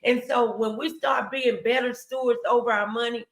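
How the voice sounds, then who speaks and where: Female faith-show host, noticeable vocal fry, emphatic preacherly delivery aimed at viewers. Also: on the high side, fairly even intonation, normal speed, low-quality recording. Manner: exhortatory, emphatic, addressing viewers directly. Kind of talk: motivational faith talk on a livestream.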